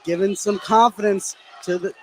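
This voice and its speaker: A man with a distinctly high-pitched voice works as a basketball color commentator. His analysis is conversational, and faint gym noise sits behind him. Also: fairly even intonation, normal speed. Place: indoor gym, seated above team bench.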